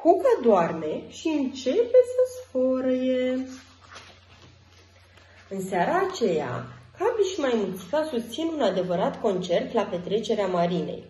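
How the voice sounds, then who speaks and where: Animated, higher-voiced woman telling children's stories. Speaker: children's storyteller. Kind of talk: expressive storybook narration for children. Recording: roomy echo, faint music.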